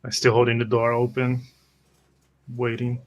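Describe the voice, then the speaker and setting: A man's voice: mid-range voice, completely flat delivery, normal speed, highs cut off. A man improvising in character as a tabletop roleplay player, with polite, formal phrasing.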